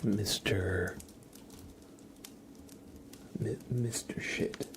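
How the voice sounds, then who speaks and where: A man's voice: lowish voice, steady tone, drawn-out words, noisy background. A man hosting a live ASMR stream, chatting to viewers with playful, murmured role-play patter. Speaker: livestream host.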